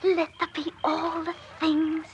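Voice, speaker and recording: High-voiced, greedy country sister. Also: female voice, completely flat delivery, takes it slowly, highs cut off. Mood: bossy, urging. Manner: theatrical rustic archaic speech. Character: greedy, coaxing, bossy young country sister. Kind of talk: classic radio drama acting. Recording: noisy old radio recording.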